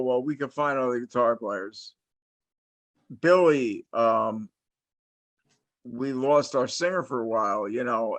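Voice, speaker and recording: A middle-aged man from New Jersey talks slowly and at an easy, unhurried pace. He rambles through his stories, full of restarts and fillers. His voice sounds dry and close, with no room in it. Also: mid-range voice, fairly bright tone, dry recording. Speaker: host, interviewer. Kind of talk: casual interview chat, long storytelling.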